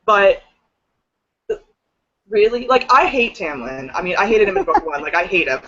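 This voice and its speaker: A woman's voice: medium pitch, very animated, moderate pace, fairly bright tone. Emphatic woman in a dull lo-fi chat. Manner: emphatic, swearing, filler-heavy with "like". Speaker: book discussion panelist. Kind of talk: casual chat, book discussion.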